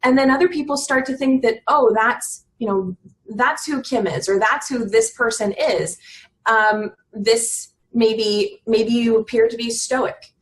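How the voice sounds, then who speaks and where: A woman in her thirties speaks as an interview guest in an earnest, searching way. She often trips, restarts, and leans on "you know" as she thinks aloud. Her voice sits a little high, with a faint hiss of noise behind it. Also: a little slow, rough recording. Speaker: guest on a business podcast. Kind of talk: reflective conversational chat.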